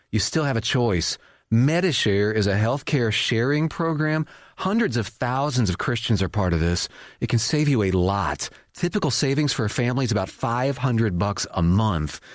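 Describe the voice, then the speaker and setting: A man's voice: mid-range voice, dry recording, clean studio sound. A man reading a conversational scripted radio advertisement. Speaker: advertisement announcer.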